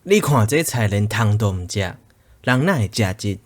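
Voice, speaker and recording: 一位男演員飾演只顧賺錢、不屑一顧的農家兒子，操台語口音。. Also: male voice, lowish voice, animated, moderate pace. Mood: scornful. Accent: Taiwanese Hokkien. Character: farmer's son, greedy, dismissive. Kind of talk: radio drama acting.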